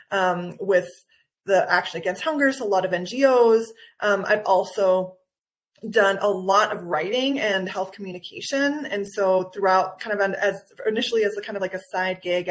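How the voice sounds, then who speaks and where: A woman with an American accent and a mid-range voice speaks as a guest in an interview. She is a wellness coach who explains things with enthusiasm. Her delivery is conversational, and she often rephrases as she goes. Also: medium pitch. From Guatemala. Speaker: nutritional anthropologist and wellness coach, podcast guest.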